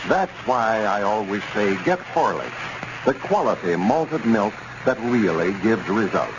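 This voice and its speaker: An American man announcing in 1930s radio style, reading scripted sales copy and narration emphatically. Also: lowish voice. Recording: muffled, noisy 1930s radio recording.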